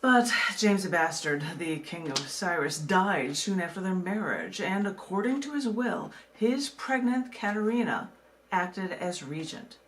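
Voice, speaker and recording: A woman poet from the United States reading her own work and talking casually about it, in a measured way with dramatic pauses. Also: on the low side, expressive, a little hiss or hum, poor audio. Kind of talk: poetry reading with casual asides.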